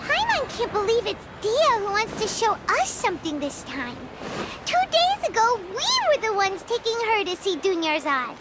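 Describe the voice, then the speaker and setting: A woman voicing a small, childlike fairy companion in a video game, squeaky, earnest, excitable and inquisitive. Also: moderate pace, very noisy, heavily acted. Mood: puzzled, curious. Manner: speaks of herself in the third person, exclamatory. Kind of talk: animated video game voice acting.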